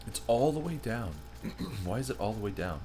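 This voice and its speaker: A man's voice: fairly low pitch, lively intonation, moderate pace, noisy background. A man in his thirties chatting casually with viewers on a live game stream and reading their messages aloud. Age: 30s. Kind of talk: casual live-stream chat while gaming.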